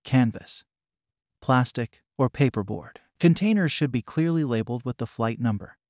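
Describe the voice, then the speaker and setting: A man narrating an informational script in an even, measured reading voice, recorded close on a voice-over mic. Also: mid-range voice, no echo.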